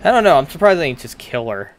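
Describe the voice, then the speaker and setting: A man's voice: fairly high pitch, very animated, fast. A young man doing casual, sweary, off-the-cuff reaction commentary with a hesitant, informal delivery. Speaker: reaction streamer. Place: small room at home. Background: game cutscene music.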